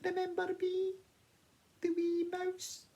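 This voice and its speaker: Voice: very high-pitched, completely flat delivery, slow pace, creaky, mellow, pitched above the performer's own voice, exaggerated voice. A storyteller voicing a tiny, polite female mouse, earnest and eager, in a small voice for a children's story. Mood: friendly, earnest.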